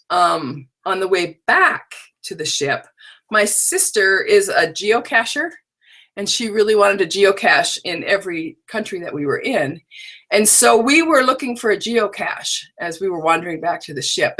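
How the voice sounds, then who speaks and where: A woman's voice: medium pitch, animated, a little slow, fairly bright tone. A middle-aged woman with a scratchy, drowsy voice, casually chatting as she hosts a live online crafting workshop from her home stamp room. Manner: chatty, rambling, talks to unseen viewers. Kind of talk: casual live-stream chat.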